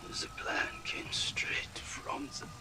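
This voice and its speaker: A man playing a broken, haunted soldier in a game, speaking in a halting, bleak, breathy half-whisper. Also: close to an everyday voice. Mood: haunted despair. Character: broken, haunted male soldier, stranded and changed.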